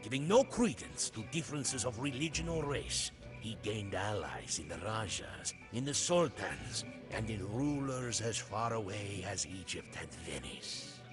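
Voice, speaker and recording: A man's voice: fairly low pitch, sing-song, a little slow, hardly any pauses. A man playing a proud Portuguese seafarer, narrating a strategy game's storybook campaign in a grave, theatrical manner. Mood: ominous, bitter. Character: proud Portuguese seafarer recounting his captain's conquests. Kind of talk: dramatic scripted storybook narration for a strategy game. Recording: studio voice over prominent music.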